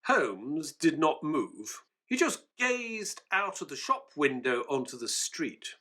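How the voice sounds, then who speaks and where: A man playing an earnest, excitable Victorian gentleman, a detective's companion and narrator, in an audio drama. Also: on the high side, slow pace, heavily acted. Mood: grave, narrating.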